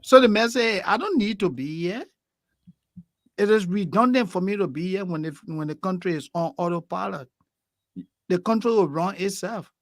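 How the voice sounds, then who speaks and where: A male co-host who chimes in with quick agreement and laughs. His voice is notably high, and it is recorded dry and close. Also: lively intonation, dry recording. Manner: agreeing, frequent yeahs and laughs. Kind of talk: current affairs discussion.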